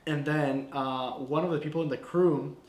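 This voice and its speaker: A man's voice: fairly high pitch, normal speed. Chatty young man in an echoey room. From Guatemala. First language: Spanish. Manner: relaxed, chatty, full of "like" and "you know". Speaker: filmmaker. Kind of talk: casual breakdown of his short film.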